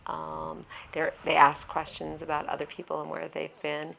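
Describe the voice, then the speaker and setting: A woman interviewed as an educator in a documentary, speaking slowly and measuredly with hesitant fillers. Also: low voice, monotone, vocal fry, sharp tone, slight background noise, low-quality recording.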